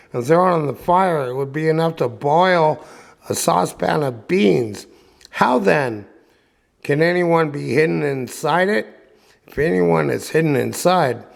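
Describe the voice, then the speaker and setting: A man's voice: fairly high pitch, creaky. A man voicing an old, easily rattled carpenter who talks to himself in a storybook reading. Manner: muttering to himself, self-reassuring. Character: good old carpenter, bewigged, easily frightened, muttering to himself. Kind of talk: storybook character voice.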